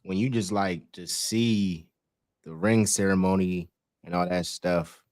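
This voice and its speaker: A man hosting a casual sports podcast, hyped and loud, breaking into a team chant. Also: sits low, steady tone, a little slow, dry recording, clean studio sound. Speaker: podcast host.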